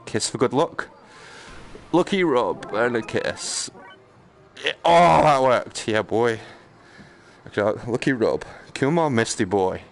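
A man's voice: mid-range voice, lively intonation, takes it slowly, gravelly, long pauses, soft background music. A man giving casual live game commentary, muttering and exclaiming to himself in a jokey, self-deprecating way. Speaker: gaming streamer. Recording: noticeable background noise, game ambience.

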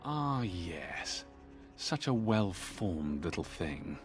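A man's voice: sits low, very animated, extremely slow, soft background music, heavily acted. An actor playing an arrogant, lecherous young nobleman in a fantasy video game, with a sneering drawl. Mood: menacing, amused.

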